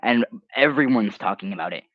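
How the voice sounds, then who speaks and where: A young man whose first language is Hebrew rambles through chat comments on his livestream, his pitch bouncing around animatedly. He sometimes drops into quiet mumbles. The sound is dry and a little dull, like a basic home mic. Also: expressive, fairly quick, dry recording. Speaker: livestream host.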